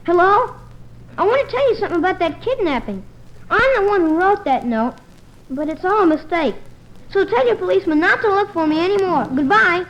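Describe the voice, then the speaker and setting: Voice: expressive, fairly quick, background noise. An actor playing an eager, imaginative young boy with slangy exclamations in an old radio comedy-drama, on a muffled recording. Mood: anxious scheming. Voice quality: high, youthful voice. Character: eager, imaginative young boy sick in bed, anxious schemer.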